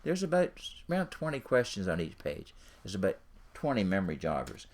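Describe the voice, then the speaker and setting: A man's voice: sits low, huge pitch swings, fairly quick. A man giving an informal instructional talk as a coach, speaking directly to the listener and repeating his phrases. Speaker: ministry and business coach.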